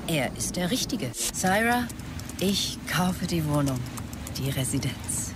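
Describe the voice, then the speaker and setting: A man's voice: on the high side, big pitch swings, slow pace, background noise. An actor playing a visionary, demanding male startup executive in a radio drama, speaking tersely and emphatically. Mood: intense. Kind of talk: radio drama, characters dictating messages.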